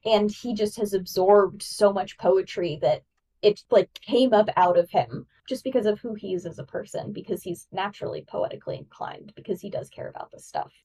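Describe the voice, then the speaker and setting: Brief: enthusiastic female podcast co-host, poetry nerd, mid-pitched voice, recorded slightly off-mic. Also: lively intonation, normal speed, warm tone, husky, distant mic, rough recording. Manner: enthusiastic, explanatory, nerdy about poetry. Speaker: guest host. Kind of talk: podcast discussion.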